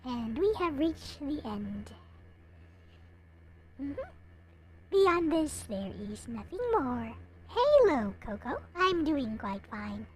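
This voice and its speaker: A woman's voice: high-pitched, very animated, slow, long pauses. A woman livestreamer chatting casually to her viewers in a hesitant, rambling way. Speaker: live streamer, host.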